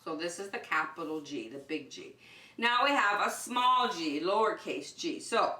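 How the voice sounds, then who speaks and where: A woman's voice: lowish voice, very animated, normal speed, bright, forceful tone. A woman preschool teacher addressing young children directly in short, simple, encouraging phrases, recorded in a roomy space at a distance from the microphone. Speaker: preschool teacher hosting story and circle time. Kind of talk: children's lesson with singing.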